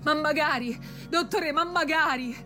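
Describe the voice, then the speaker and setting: Mouthy excitable roman girl, really high voice, radio drama w music under. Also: a little slow. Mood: frantic. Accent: central Italian, Roman. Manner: blunt, colloquial, excitable. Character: brash, excitable common young woman, granddaughter. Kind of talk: Italian radio drama acting.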